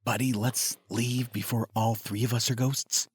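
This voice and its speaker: A man's voice: mid-range voice, flat delivery, a little slow, breathy edge, no echo. Man acting a nervous, sardonic sidekick, dry sound. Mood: anxious, urgent. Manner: skittish, sardonic. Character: nervous, grumbling man in his late thirties, reluctant sidekick. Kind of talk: audio drama dialogue acting.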